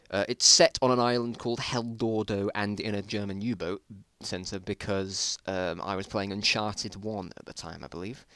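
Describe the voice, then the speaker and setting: A man's voice: deep voice, bright, forceful tone, no room sound. A man narrating a story aloud as an amateur dramatic reading, with wry, self-mocking asides.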